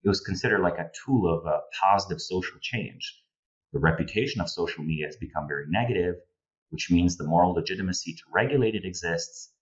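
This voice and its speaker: Male interview guest, thirties, Slovenian accent, deep register, explanatory and discursive, recorded a bit lo-fi over video call. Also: fast talker. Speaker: guest political analyst. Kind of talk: conversational analysis in an interview. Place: home room with bookshelves, indoors. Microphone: earphone headset on video call. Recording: slightly dull video-call audio.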